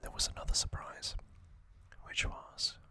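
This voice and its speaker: A host whispers an ASMR recap slowly and softly, with every word voiceless and breathy. The whisper has a bright, crisp edge. The delivery stays relaxed and conversational, with a few fillers. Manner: soft unvoiced whisper with casual fillers and asides.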